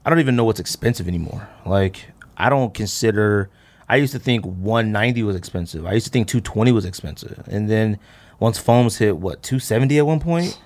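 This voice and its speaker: A man in his thirties hosting a casual chat, rambling and thinking out loud in slangy talk. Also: on the low side, brisk. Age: 30s. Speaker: podcast host. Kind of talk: casual chat about sneakers.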